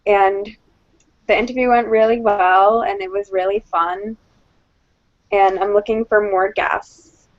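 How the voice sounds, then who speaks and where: A woman's voice: medium pitch, steady tone, measured pace, pauses often, dry recording. Young woman guest, 20s, shy, dry dull recording. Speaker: guest, show host. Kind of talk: casual podcast chat.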